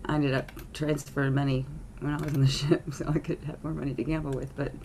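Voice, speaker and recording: A woman's voice: low-pitched, little pitch movement, fast, a little mellow, slight background noise. A middle-aged woman co-hosting a casual chat show, rambling and laughing often as she talks. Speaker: co-host.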